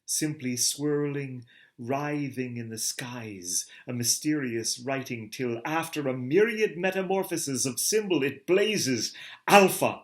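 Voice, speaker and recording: A middle-aged man giving a theatrical reading of a novel, moving from hushed murmurs to booming calls. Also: takes it slowly. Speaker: reader of a novel. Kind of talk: dramatic literary reading.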